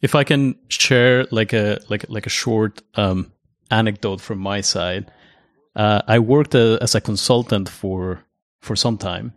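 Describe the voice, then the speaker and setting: A man's voice: fairly low pitch, unhurried, dry recording. Man from Mexico, dry close sound. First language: Spanish. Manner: relaxed, anecdotal, many fillers. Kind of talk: casual discussion.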